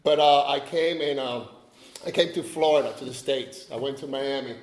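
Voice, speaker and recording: A man's voice: mid-range voice, normal speed. Chatty man in an echoey hall. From Cuba. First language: Spanish. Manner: chatty, joking asides to the audience. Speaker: AA speaker. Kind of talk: personal recovery talk.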